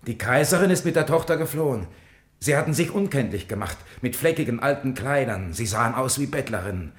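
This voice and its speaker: Actor as a grave soldier, roomy sound. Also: male voice, mid-range voice, animated, natural voice. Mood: earnest. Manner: deferential, measured, grave. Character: humble, shaken soldier reporting to his lord. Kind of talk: 1950s German radio drama acting. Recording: roomy vintage radio studio recording.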